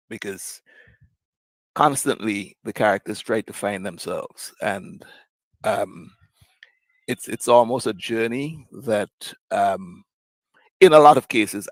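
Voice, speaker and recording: A man in a book discussion, speaking reflectively and haltingly as he searches for the right words. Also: on the low side, no room sound. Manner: hesitant, searching for words, long pauses. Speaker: book club panellist.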